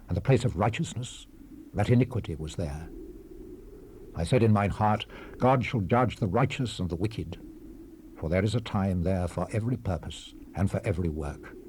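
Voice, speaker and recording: Casting: male actor as brooding scripture sage, low mellow voice, grave theatrical delivery with falling phrase endings, seventies cassette hiss. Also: natural voice. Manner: solemn, measured, declamatory, with falling phrase endings. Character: weary, brooding old-world preacher reflecting on life's vanity. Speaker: scripture narrator. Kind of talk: dramatized Bible reading, solemn and theatrical. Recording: hissy 1970s cassette rip.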